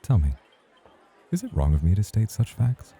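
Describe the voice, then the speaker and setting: A man playing a suave, very dark-voiced male club host. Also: on the low side, huge pitch swings, normal speed, some chatter behind, exaggerated voice. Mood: flirtatious, teasing. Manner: formal, smooth-talking, flirtatious. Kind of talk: ASMR roleplay acting.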